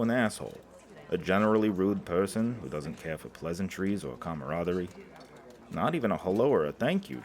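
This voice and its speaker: Gruff audio drama craftsman, extremely low voice, swooping intonation, sarcastic, blunt, folksy. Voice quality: gravelly. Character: gruff, sardonic blind male key maker, chatty and blunt.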